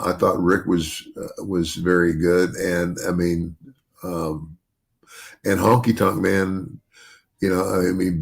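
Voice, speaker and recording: Older male podcast host, deep bass voice with gravel, rambling and restarting mid-thought. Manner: rambling with many restarts and "you know". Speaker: podcast host, retired wrestler. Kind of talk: casual podcast chat.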